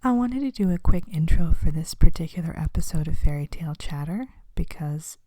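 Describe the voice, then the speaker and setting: A woman ASMR host talks casually in a soft, whispery voice. It sits low and has a mellow, relaxed tone. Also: fairly quick, no room sound.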